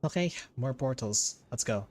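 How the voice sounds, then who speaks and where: A man's voice: medium pitch, animated, normal speed, darkish tone. A man giving casual, slangy, sometimes profane commentary as he streams a video game. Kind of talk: casual gameplay reaction commentary. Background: game music.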